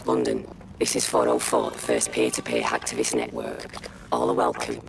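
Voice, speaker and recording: An ominous masked hacker broadcast. The voice is filtered, extremely low and heavily gravelled, declaring in a flat monotone over clear noise. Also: male voice, moderate pace, full character voice. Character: ominous anonymous hacktivist broadcaster. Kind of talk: recruitment broadcast in a video game.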